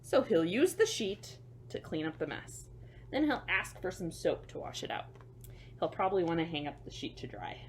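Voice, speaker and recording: Expressive woman reading aloud to children. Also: on the low side, normal speed, slight background noise. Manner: expressive, with simple explanations and asides to young listeners. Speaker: children's librarian storyteller. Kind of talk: picture-book read-aloud for children with chatty asides.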